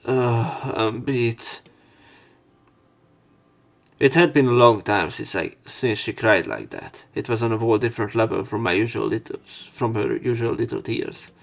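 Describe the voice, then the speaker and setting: A man's voice: medium pitch, lively intonation, a little slow, vocal fry. A man giving casual, sarcastic let's play commentary and reading the game's dialogue aloud, with some swearing.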